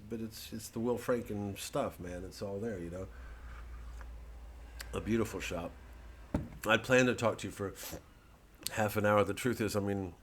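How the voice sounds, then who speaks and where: A man giving a rambling, digressive comedian's monologue, halting and sardonic, peppered with casual filler phrases. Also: fairly low pitch. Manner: sardonic, mocking, profane when worked up. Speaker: comedian promoting his show.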